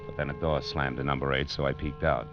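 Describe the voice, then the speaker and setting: A man's voice: a bass voice, flat delivery, fast. An American man playing a wry, tough private detective in an old-time radio drama, sardonic and clipped in his delivery. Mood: wry narrating. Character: wry, tough, nosy male private detective, worldly and unflappable. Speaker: narrator and lead actor. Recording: dull vintage radio recording, music behind.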